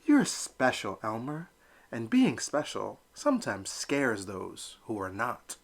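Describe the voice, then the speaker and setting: A man reading a soft, gentle mama duck. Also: mid-range voice, huge pitch swings, takes it slowly, vocal fry, exaggerated voice. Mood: tender. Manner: soft and soothing. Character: gentle, loving mother duck.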